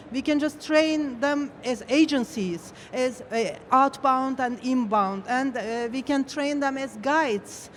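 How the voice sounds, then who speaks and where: Higher-voiced non-native English woman, halting. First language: not English. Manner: halting, repeats phrase starts. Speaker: panelist. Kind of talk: spontaneous panel answer. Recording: faint live event noise.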